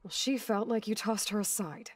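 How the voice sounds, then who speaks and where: An actress plays a young female warrior, protective and skeptical. Her voice is husky and sits at a medium pitch. She talks bluntly, probing and challenging, in the style of dramatic video game acting. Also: moderate pace, lightly acted. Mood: accusing.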